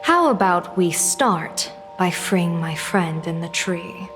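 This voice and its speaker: A composed, shrewd general who weighs every word. She speaks very slowly at a middle pitch, in a long reverberant space. Game music sits underneath. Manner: calm, deliberate, measured. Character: calm, measured woman general, shrewd and composed. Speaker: game character.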